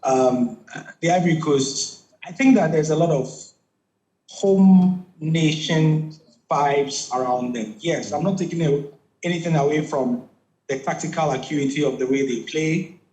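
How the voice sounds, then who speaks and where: A man's voice: a little high, measured pace, darkish tone, roomy sound, low-quality recording. A man from Ghana giving conversational football analysis as a studio pundit, with frequent restarts.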